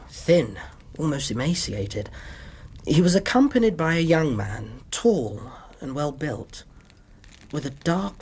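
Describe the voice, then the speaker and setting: A British Victorian doctor in a radio play. His voice is husky and expressive, with courteous, precise phrasing as he recounts a case. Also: on the high side, exaggerated voice. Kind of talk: radio drama narration and dialogue.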